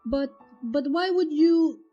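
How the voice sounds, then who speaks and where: A woman acts out an eager male skeleton from a fan fiction story. The voice is high and soft-edged, easily startled and childlike in its enthusiasm. He exclaims often and stammers when unsure. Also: takes it slowly, lo-fi sound, faint music behind. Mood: hesitant, puzzled. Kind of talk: acted fan fiction reading.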